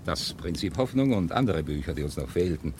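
An actor playing a steady leader of exiled book lovers in a 1970s German radio play, speaking in an earnest, measured, declamatory way. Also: male voice, low voice, a little hiss or hum. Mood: earnest, resolute.

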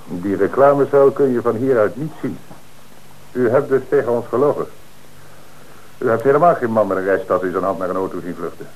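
An actor playing a police inspector who questions a suspect in a measured, dryly ironic way in a radio play. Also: male voice, lowish voice, animated, a little mellow, slight background noise, close to an everyday voice, poor audio. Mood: accusing. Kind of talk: radio drama acting.

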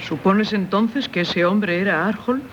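A woman's voice: mid-range voice, a little slow, close to an everyday voice. Radio drama, Castilian Spanish: actress as anguished married woman, grave confessional delivery, faint noise on a dull old recording. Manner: solemn, confessional, theatrical delivery. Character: tormented married woman haunted by a former lover. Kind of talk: 1970s radio theatre acting.

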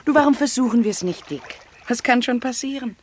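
An actress playing a dreamy gangster's bride in a German radio comedy, sighing and sweetly cajoling. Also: female voice, a little high, brisk, a little hiss or hum, close to an everyday voice. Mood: coaxing.

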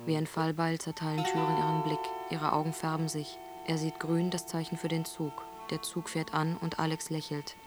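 A woman narrating a radio drama in measured, short recited phrases. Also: low-pitched, monotone. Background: music.

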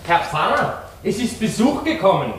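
An actor playing a talkative, obliging village parsonage attendant in a radio drama, with a helpful manner. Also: male voice, high-pitched, very animated, normal speed, fairly bright tone. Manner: chatty, helpful. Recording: echoey, faintly noisy radio recording.

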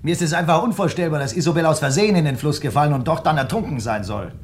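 An actor as a needling, animated male investigator. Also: on the high side, quick pace, slight background noise, lightly acted. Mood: accusing, sardonic. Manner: probing, pointed, needling. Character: suspicious, accusing male amateur investigator, sardonic. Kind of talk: German radio drama acting.

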